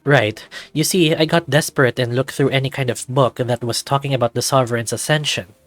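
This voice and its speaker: A man's voice: mid-range voice, fairly even intonation, fairly quick, a bit bright, no room sound, close to an everyday voice. Man playing an earnest, flustered young male student. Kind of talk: acted visual novel dialogue. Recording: background music under the voice.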